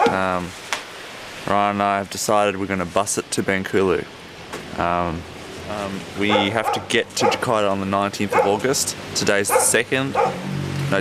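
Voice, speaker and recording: A man giving an unscripted travel video diary as presenter, explaining things in a halting, matter-of-fact way. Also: fairly low pitch, steady tone, very noisy.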